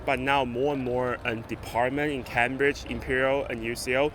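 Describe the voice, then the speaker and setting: Man in his 30s, Taiwanese accent, mid-pitched voice, patient explainer, noisy background. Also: level tone, moderate pace. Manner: explanatory, with hesitations and non-native grammar. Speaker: guest doctor and researcher. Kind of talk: casual interview answers.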